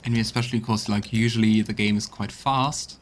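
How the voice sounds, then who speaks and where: A man's voice: on the low side, steady tone, a little fast, a little hiss or hum. A man giving casual game commentary as a let's play streamer, speaking into a close mic. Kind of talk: casual game commentary mixed with reading dialogue aloud. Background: game music.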